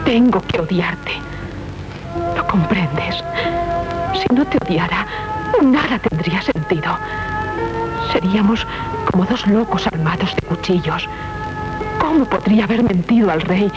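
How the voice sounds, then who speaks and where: A woman's voice: medium pitch, lively intonation, takes it slowly, long pauses. An actress playing an anguished young noblewoman torn between love and vengeance in a 1970s radio play, with a Castilian accent and an impassioned, solemn delivery. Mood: grave, resolute. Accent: Castilian Spanish. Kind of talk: 1970s Spanish radio theatre acting. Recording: dull 1970s radio broadcast recording. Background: prominent music.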